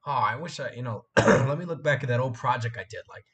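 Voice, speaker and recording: Hoarse 18 yr old dude w a cold, rambly live stream chat from his room, repeats stuff a lot. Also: mid-range voice, fast talker, fairly bright tone. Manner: rambling, repeats himself, chatty with viewers. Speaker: live stream host and fan film maker. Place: room at home by a window.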